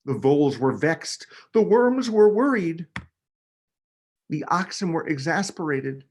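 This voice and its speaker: A man, a children's book author, reading a picture book aloud with expressive, dramatic emphasis. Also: on the high side, a little slow, a little hiss or hum. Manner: expressive, dramatic delivery with emphasis on key words.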